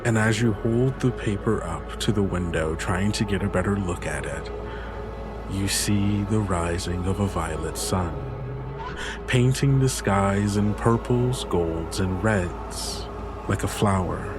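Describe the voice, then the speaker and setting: A man's voice: deep voice, slow pace, over music. A man narrating a tabletop story as game master, in a descriptive, dramatic storytelling manner.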